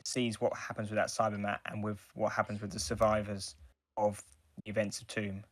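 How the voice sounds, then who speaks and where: A man with a fairly low voice talks casually as a podcast co-host. He gives his reviews haltingly, often circling back mid-sentence. The sound is dry, with no room echo. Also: completely flat delivery.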